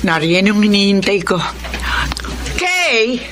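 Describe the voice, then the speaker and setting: An actress playing a middle-aged mother and peacemaker in a Filipino radio drama, gentle but firm. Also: mid-range voice, sing-song, slow. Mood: gently firm. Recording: noisy radio drama with ambience.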